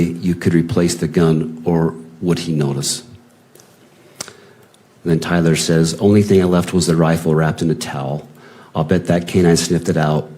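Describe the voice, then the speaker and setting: A man's voice: deep voice, mellow. A man reading written messages aloud in a plain, unacted way, as in testimony at a hearing.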